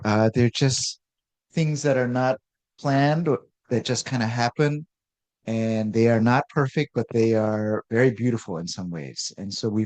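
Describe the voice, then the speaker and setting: A man presents at an art talk in an easygoing, unpolished way, dropping in fillers as he goes. His voice is mid-pitched and sits in a dry, dead acoustic. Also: dry recording. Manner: relaxed, lots of uh fillers and restarts. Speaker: artist. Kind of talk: gallery artist talk.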